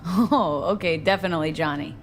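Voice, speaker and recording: Actress as a street-tough ailing mercenary woman, low voice, wry, dry clipped casual delivery, video game, light music behind. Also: brisk, close to an everyday voice. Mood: wry, uneasy.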